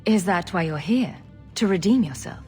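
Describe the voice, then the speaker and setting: Dry, sarcastic heroine, lively intonation. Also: female voice, fairly low pitch, moderate pace, husky, faint music behind. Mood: sceptical, probing. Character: dry-witted, sceptical heroine, adult woman adventurer. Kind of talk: video game character acting.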